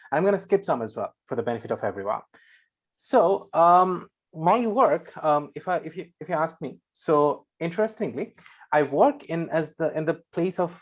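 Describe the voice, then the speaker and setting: A young man at mid pitch, speaking on a video call. He talks rapidly and energetically, rushing through his points. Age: young adult. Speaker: panel speaker.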